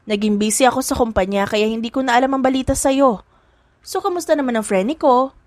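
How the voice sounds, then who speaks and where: A woman's voice: a little high, a little fast, darkish tone, no echo, natural voice. A woman voicing a bubbly, lovestruck young woman, girlish and gushing, in an audiobook romance. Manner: gushing, squealing.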